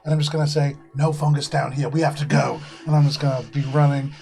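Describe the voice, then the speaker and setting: A player in a tabletop game, talking casually and making quick, wry remarks. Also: medium pitch, flat delivery, creaky, a little mellow.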